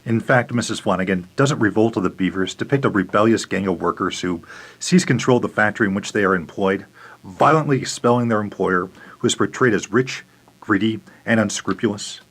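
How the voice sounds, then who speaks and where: A man's voice: fairly low pitch, fairly quick. A man playing a stern 1930s congressman questioning a witness in a radio theater reading. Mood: accusing.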